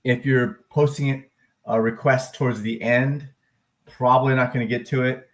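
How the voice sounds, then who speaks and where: An upbeat man hosts a casual live stream on market charts, his pitch sitting in the middle of the usual male range. He talks in a loose, rambling way, full of fillers and quick self-corrections, and breaks into loud whooping cheers now and then. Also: fairly even intonation. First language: English. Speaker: live stream host, crypto and stock chart analyst.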